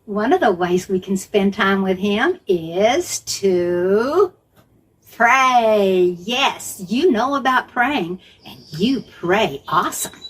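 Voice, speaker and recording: A woman's voice: medium pitch, animated, slow pace, husky. A woman telling Bible stories to young children in simple phrases, repeating words for emphasis and putting questions to listeners. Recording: faint background noise.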